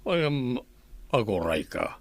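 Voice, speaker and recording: A man's voice: medium pitch, big pitch swings, slow pace, no echo. An actor playing an easygoing older village husband and father in a radio drama. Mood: reasoning.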